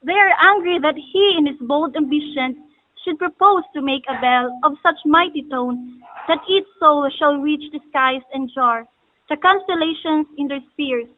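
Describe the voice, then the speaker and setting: A woman acts as a commanding female soothsayer with a high voice, declaiming solemn prophecy in formal drama lines. The recording is muffled and thin, like a phone. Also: moderate pace, natural voice. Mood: solemn, ominous. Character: solemn wise-woman astrologer, ominous and commanding. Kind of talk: stylized stage drama recital of prophecy.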